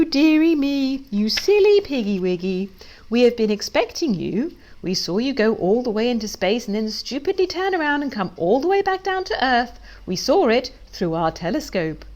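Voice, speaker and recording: A woman's voice: a little high, big pitch swings, normal speed, a little mellow, pitched above the performer's own voice. A woman voicing a mocking, gloating evil chicken in a children's storybook, with sing-song baby-talk taunts.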